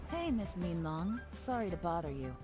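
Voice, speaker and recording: An actress playing a poised, shrewd secret agent who speaks with calm, measured authority in an English video game dub. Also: female voice, fairly low pitch. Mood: smooth, probing. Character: poised secret-agent woman leading an investigation, cool and shrewd. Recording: game music behind the voice.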